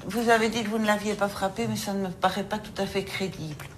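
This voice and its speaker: A woman's voice: mid-range voice, steady tone, quick pace, background noise. A woman prosecutor, questioning a suspect firmly in her office. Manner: stern, firm, pointed questions.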